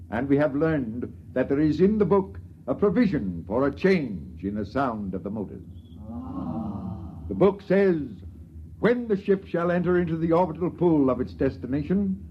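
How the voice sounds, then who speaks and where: A man's voice: a little high, a little hiss or hum. An actor playing a solemn, dogmatic priest making a proclamation to his people in a 1950s radio drama. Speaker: character in a 1950s radio play. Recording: muffled vintage radio broadcast.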